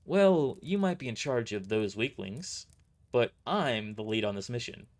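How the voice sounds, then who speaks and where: A man's voice: mid-range voice, lively intonation, a little slow. A man voicing a cocky young woman mercenary leader, blunt and smugly mocking, in an audio drama. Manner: taunting, smug, blunt.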